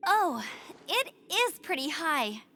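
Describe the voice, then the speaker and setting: A woman voicing a spirited, determined young female scout knight in an anime game, energetic and emphatic. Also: sits high, takes it slowly, no echo, exaggerated voice. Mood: frustrated.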